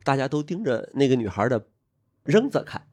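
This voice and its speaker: Lively man laughing through his stories. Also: mid-range voice, brisk, slightly rough. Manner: animated, laughs often while telling stories. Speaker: podcast guest. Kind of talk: casual podcast chat.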